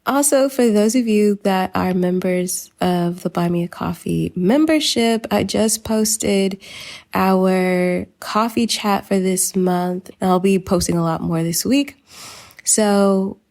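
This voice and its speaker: A woman speaks as a podcast host at a middle pitch. Her delivery is informal and chatty, addressing listeners directly as she moves through announcements and introductions. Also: takes it slowly, gravelly, a little mellow.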